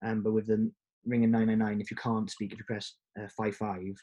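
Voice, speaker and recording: A man's voice: fairly low pitch, level tone, a little fast, warm tone, muffled recording. A man hosting a casual recorded chat over a video call, hesitant and full of ums. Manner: hesitant, many ums and fillers. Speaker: host.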